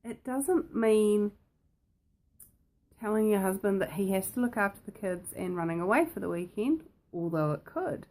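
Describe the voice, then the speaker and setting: Croaky-voiced woman hosting a talk. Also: medium pitch, lively intonation, moderate pace, dark tone. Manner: playful, repetitive, asks the viewer questions. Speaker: host. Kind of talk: casual talk to camera.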